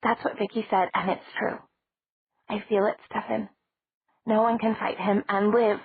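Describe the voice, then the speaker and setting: A woman's voice: medium pitch, level tone, measured pace, breathy edge, dry recording, voice pushed up. A woman reading an audiobook, voicing a frightened young woman who speaks in a pained, pleading way. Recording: dry, tinny phone-like sound.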